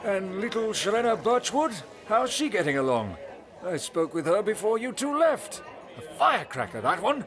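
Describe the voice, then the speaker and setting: A genial middle-aged father played by a man talks in a high, swooping voice, folksy and doting toward his grown son. It is an audio drama scene with a crowd murmuring behind. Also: sing-song, normal speed. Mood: jovial, fond.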